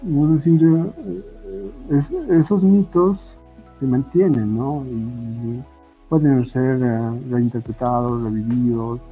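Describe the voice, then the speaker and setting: A Bolivian man speaks slowly and haltingly at a middling pitch, chatting as an interview guest and often tagging his phrases with a question. The recording is dull and lo-fi, with music playing behind him. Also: steady tone, gravelly. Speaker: podcast guest, comic artist and illustrator. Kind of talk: casual podcast interview. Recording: muffled lo-fi audio with music. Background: background music.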